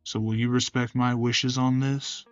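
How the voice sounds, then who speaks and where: Man playing a genial, unhurried male caregiver. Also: medium pitch, little pitch movement, no room sound, highs cut off, faint music behind, close to an everyday voice. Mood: earnest, protective. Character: genial, protective male caregiver, courteous and earnest.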